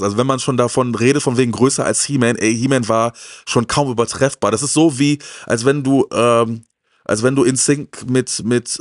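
A man's voice: medium pitch, no echo, studio quality. A middle-aged man chatting casually and enthusiastically, slangy and repeating himself as he searches for words. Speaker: video show host. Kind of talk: casual chat about retro cartoons. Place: small indoor room with shelves of films and games. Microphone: handheld microphone held close.

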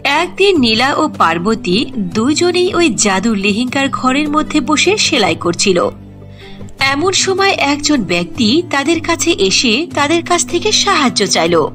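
Female narrator for cartoon fairy tales, high-pitched and very expressive. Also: unhurried. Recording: music behind the voice.

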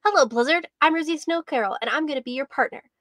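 A woman reading aloud and voicing an eager, cheerful young female reindeer who is excited to compete. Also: high voice, fast, dry recording, clean studio sound, voice pushed up. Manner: bright, earnest, excited.

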